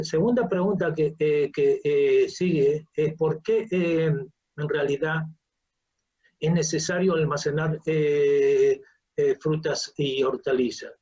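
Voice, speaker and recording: An older man giving an online scientific lecture, halting and often repeating his words over a video call. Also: on the high side, warm tone, husky, highs cut off. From Uruguay. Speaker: professor and researcher, lecturer.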